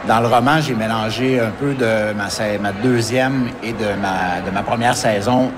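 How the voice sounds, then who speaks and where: Québécois man, halting, noisy ambience. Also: fairly low pitch, steady tone. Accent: Québécois French. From Quebec. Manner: halting, many restarts and fillers. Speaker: author and forest firefighter. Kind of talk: radio interview.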